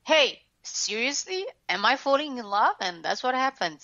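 A woman with a Lebanese accent tells her story frankly, searching for words as she goes. Her voice has a gravelly fry. The recording is dry and a little dull. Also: fairly high pitch, animated, moderate pace, bright, forceful tone, no room sound. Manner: frank, reflective, lots of fillers and restarts. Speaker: guest, author and former TV host. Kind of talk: candid interview storytelling.

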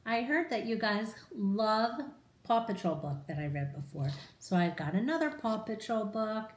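Animated woman reading to children, roomy sound. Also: mid-range voice, normal speed. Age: middle-aged. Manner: animated, addressing children directly. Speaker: storyteller for children. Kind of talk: expressive read-aloud of a picture book to children. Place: room in a home, indoors.

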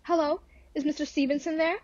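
A woman's voice: sits high, steady tone, brisk, darkish tone, dull audio, lightly acted. A woman playing an anxious, demanding invalid wife in an old-time radio thriller, nervous and melodramatic. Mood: puzzled.

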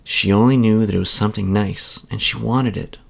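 A man reads a children's bedtime story aloud. His voice is creaky, and his delivery is measured and expressive. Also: lowish voice.

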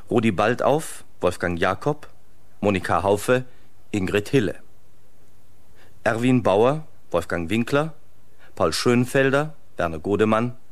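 Slow, lowish male radio announcer. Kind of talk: scripted credits announcement.

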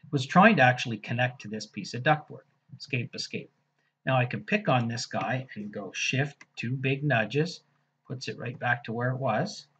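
Husky man walking through software steps. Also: medium pitch, normal speed, lo-fi sound. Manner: casual, thinking aloud while clicking through steps. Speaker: software instructor. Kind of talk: screen-recorded software tutorial.

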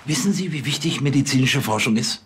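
A man's voice: mid-range voice, animated, fairly quick, noisy background, close to an everyday voice. An actor playing an affable, self-important middle-aged policeman who is chatty and officious, in a TV crime comedy. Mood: questioning.

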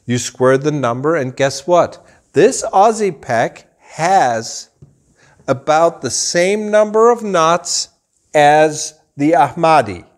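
A man in his forties, raised in Germany, a rug dealer explaining things to camera in a showroom, slow and deliberate with gestures. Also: a little high, expressive. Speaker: rug dealer and channel host. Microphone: camera at a few meters, full body framing.

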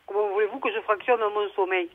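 A muffled telephone line carries a woman's voice at middling pitch. She talks spontaneously and bluntly, repeating herself as she goes. The sound is dry and lo-fi. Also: steady tone, fast talker, dry recording. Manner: plain-spoken, bluntly protesting, repetitive. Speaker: prank call victim. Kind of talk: spontaneous phone conversation.

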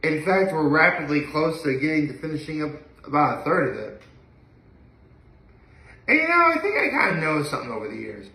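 A young man with a bright, fairly high-pitched voice talks casually to the camera. He rambles and hesitates, letting thoughts trail off. The recording is echoey, made in a room at home a few feet from the phone. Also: sing-song, brisk, gravelly. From upstate New York. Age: young adult. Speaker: daily vlogger. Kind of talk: casual solo chat to camera. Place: indoor living room at home. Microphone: phone camera a few feet away.

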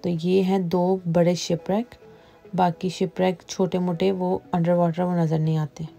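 Calm woman narrating a travel vlog. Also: lowish voice, unhurried, vocal fry, soft background music. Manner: calm explanatory voice-over, mixing in English phrases. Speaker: travel vlogger. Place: outdoors on a tour boat on a lake.

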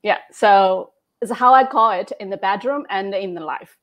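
A woman in her thirties from China with a Chinese accent, a coach speaking as a guest in a measured, friendly way with soft laughs, on earbuds over a video call. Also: mid-range voice. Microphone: wired earbud headset on a video call.

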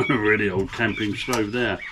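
A man's voice: lowish voice, steady tone, normal speed, very noisy. A middle-aged man chatting casually to camera outdoors in a garden while showing camping stoves by hand. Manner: chatty, plain-spoken, demonstrating stoves by hand. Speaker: camping vlogger. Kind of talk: casual gear demonstration to camera. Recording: outdoor birdsong in background. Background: birdsong.

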